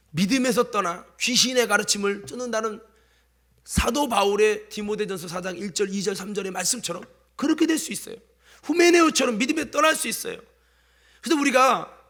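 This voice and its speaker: High-voiced preacher with light Chungcheong lilt. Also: male voice. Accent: light Chungcheong Korean. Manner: swings from loud exhortation to hushed asides, quizzes the congregation. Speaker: pastor. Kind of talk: sermon. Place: church.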